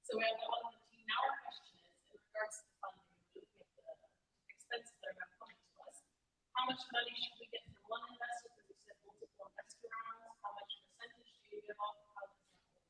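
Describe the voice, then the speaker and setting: Quick, lively audience member in a roomy space. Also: medium pitch. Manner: lively, rapid questioning. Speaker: audience member, startup team. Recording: roomy echo.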